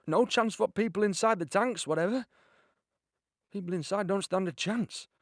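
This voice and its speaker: A man playing a young soldier in an audio play, telling his war story in a conversational, excitable, unbothered way. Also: fairly high pitch, no room sound, studio quality. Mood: animated, wry. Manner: conversational, storytelling with repeated "you know". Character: young British soldier recounting D-Day, excited, chatty and unbothered. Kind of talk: dramatic first-person monologue in audio play.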